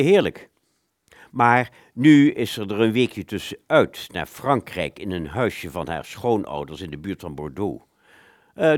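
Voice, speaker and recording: A man's voice: deep voice, a little mellow, no room sound, studio quality. A man playing a polite, talkative, slightly flustered hair salon customer in a radio play, rambling with hesitations. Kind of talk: Dutch amateur radio play dialogue.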